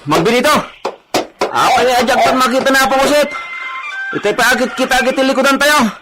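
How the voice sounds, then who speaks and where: A man with an Ilocano accent playing a frantic, excitable younger man urging on companions in a radio drama. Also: very high-pitched, brisk. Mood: urgent, alarmed. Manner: urgent, exclaiming. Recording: loud music behind the voice.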